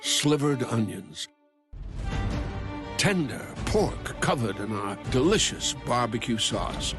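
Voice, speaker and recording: A man voices a food advert over music. He takes his time, savoring each phrase with dramatic, lively swings in pitch. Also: takes it slowly. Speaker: commercial voice-over announcer. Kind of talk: scripted fast-food advert narration. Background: music bed.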